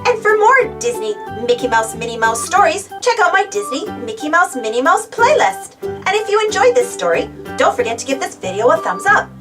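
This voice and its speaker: High, very animated woman storyteller. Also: a little fast. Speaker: storybook reader. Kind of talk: animated children's read-aloud narration. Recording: slightly distant mic, music bed. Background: music.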